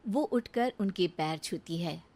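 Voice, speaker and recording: A woman's voice: on the low side, expressive, measured pace, warm tone. A woman reading scripted narration for a moral storybook tale.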